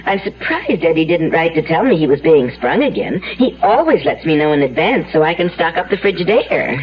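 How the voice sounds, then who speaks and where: A woman's voice: low-pitched, big pitch swings, a little fast. An actress playing a chatty, doting older mother of a convict in a vintage radio crime drama, homely, fussy and rambling. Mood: hospitable. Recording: dull, noisy old radio recording.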